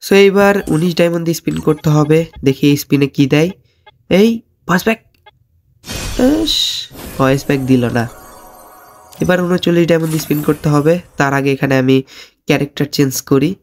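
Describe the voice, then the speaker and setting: Fast-talking, high-pitched Bangladeshi male gamer. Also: a little mellow, slight background noise. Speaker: gaming YouTuber and host. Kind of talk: casual game commentary.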